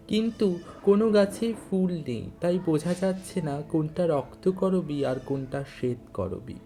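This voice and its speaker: Mellow storyteller over background music. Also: mid-range voice. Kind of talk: scripted audio story narration.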